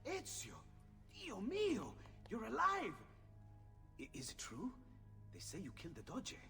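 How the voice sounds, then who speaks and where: A man's voice: high-pitched, wildly swooping pitch, a little slow, bright, forceful tone, big-room sound, far from the mic, soft background music, exaggerated voice. A male actor playing a friendly, eager Renaissance inventor with an Italian accent in a video game cutscene. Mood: astonished relief.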